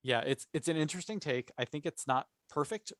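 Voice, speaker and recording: A man's voice: a little high, lively intonation, fairly quick, fairly bright tone, no echo. Enthusiastic man in a dry-sounding fan chat. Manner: enthusiastic, emphatic. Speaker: podcast panelist. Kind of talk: casual fan discussion.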